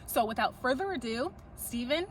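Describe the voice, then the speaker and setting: A woman's voice: a little high, animated, normal speed, a little hiss or hum. A woman speaking outdoors, giving an upbeat scripted video update to members of her organization. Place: outdoors near stadiums.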